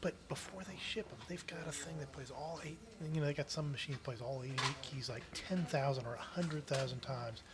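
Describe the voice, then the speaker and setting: A man's voice: mid-range voice, fairly quick, hardly any pauses. A man in casual, rambling conversation as a member at a club meeting. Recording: live meeting room, chattering crowd. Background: clear crowd chatter.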